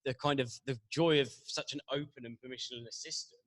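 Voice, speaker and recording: Halting man in casual debate. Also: medium pitch, steady tone, fairly bright tone, dry recording. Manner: halting, stammering with many false starts. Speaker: panel guest who works as a business analyst.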